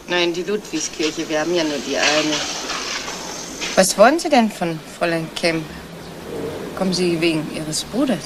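A woman's voice: fairly low pitch, normal speed, long pauses, lightly acted. A woman playing a nosy, gossipy neighbour in a 1970s radio crime drama, chatty and insinuating, feigning discretion and tagging her remarks with little questions. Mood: guarded, curious. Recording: noisy old radio play, vehicle ambience.

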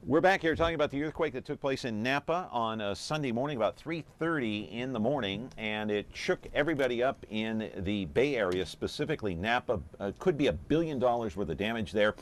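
A middle-aged American man hosting a local TV interview show, brisk and steering his guest and callers. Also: mid-range voice, expressive. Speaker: TV talk show host. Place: local TV studio. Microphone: desk mic.

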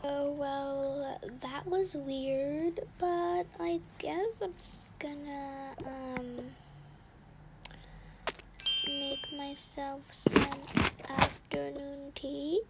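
A woman plays a put-upon little girl in a toy-game story. Her high voice dawdles hesitantly with a slight whine, under heavy recording noise. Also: fairly even intonation, full character voice. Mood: puzzled, mild. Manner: hesitant, drawn-out, mildly whiny. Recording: noisy home recording with clicks.

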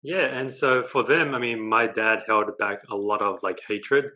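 A man's voice: on the low side, normal speed. A young man with an Australian accent, a guest on a video call interview, telling his story with lots of gestures and a habit of adding "right?". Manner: animated storytelling, gestures a lot, tags lines with "right?". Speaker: guest, dating coach. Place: living room at home.

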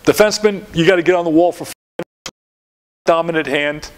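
A man's voice: fairly high pitch, brisk. Older man lecturing, rambling with restarts. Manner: rambling, lots of fillers and restarts, gestures at slides. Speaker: lacrosse coach giving a clinic talk. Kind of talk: coaching clinic lecture. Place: dim lecture room in front of a projected slide.

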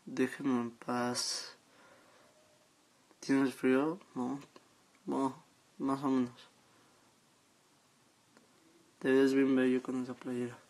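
A seventeen-year-old young man with a Mexican accent, chatting casually and haltingly as he reads out and answers comments on a live stream from his phone. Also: medium pitch, completely flat delivery, darkish tone. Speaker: social media live streamer. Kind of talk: casual chat, reading out and answering viewer comments. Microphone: phone at arm's length.

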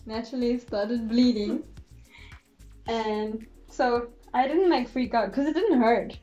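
A woman's voice: fairly high pitch, measured pace, dark tone, lo-fi sound, faint music behind. A young woman vlogger with a congested, fading voice, telling a chatty, giggly story in her bedroom while her makeup is done. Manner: chatty, giggly, acts out quotes. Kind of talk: casual storytelling vlog. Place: bedroom, indoors.

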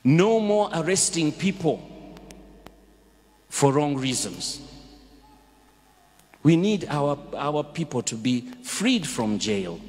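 A Ugandan-accented man preaches from the pulpit, his voice sitting a little high for a man. He drives his points with rhetorical questions and urgent exhortations. A long church reverb trails every phrase. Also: very animated, takes it slowly, long pauses, darkish tone. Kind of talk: sermon. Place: large church.

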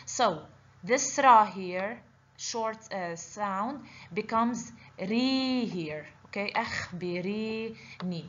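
A woman's voice: mid-range voice, fairly bright tone. Woman teacher going thru a language lesson step by step, kinda lo-fi voiceover w/ a bit of hiss. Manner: patient, explaining step by step with frequent "okay?". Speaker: Arabic teacher. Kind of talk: online language lesson over slides. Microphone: voice-over on slides. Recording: slightly dull lo-fi voice-over with faint noise.

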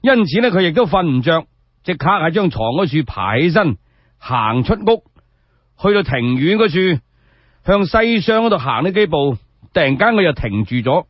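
Animated Cantonese male storyteller, slightly husky. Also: a little high, normal speed, dry recording, dull audio. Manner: colloquial, expressive. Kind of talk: animated solo radio storytelling.

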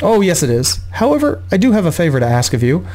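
Man voicing a gracious noblewoman mother, raised pitch. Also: very animated, fast talker, darkish tone. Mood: composed.

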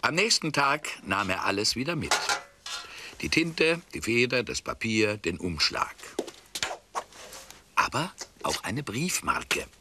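Low, very slow male storyteller. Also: sing-song. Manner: deliberate, dramatic pauses. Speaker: narrator. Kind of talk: expressive scripted children's story narration. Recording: clearly noisy soundtrack.

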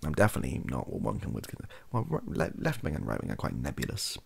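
Very deep, gravelly British young man. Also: huge pitch swings, fast talker, darkish tone. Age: young adult. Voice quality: gravelly, husky. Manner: rambling, hesitant, trailing off. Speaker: ASMR YouTuber. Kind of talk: softly spoken improvised opinion monologue. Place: small home room, indoors.

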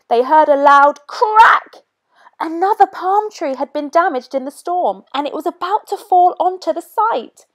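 Animated woman reading a children's storybook. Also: sits high. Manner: animated, clearly paced read-aloud for young children. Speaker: storyteller reading a children's picture book aloud. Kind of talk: expressive scripted storybook narration.

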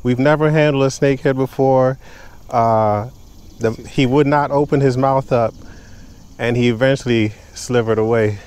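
A young man vlogging outdoors from a kayak on a lake, talking excitedly to the camera while fishing, recorded on a body-worn action camera. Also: medium pitch, normal speed, smooth.

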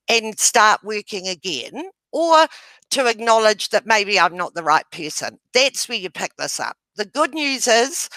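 A middle-aged woman from New Zealand giving a webinar over a video call with a headset, explaining a method in a loud, gesturing way. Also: mid-range voice, dry recording, poor audio. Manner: loud voice, gestures while talking. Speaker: webinar presenter. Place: home room, indoors.

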